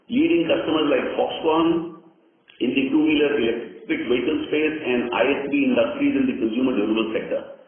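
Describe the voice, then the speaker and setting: Indian-accented executive man on echoey phone line. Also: mid-range voice. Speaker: company executive presenting results. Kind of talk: prepared earnings call presentation. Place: conference call. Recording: echoey, tinny conference phone line with noise.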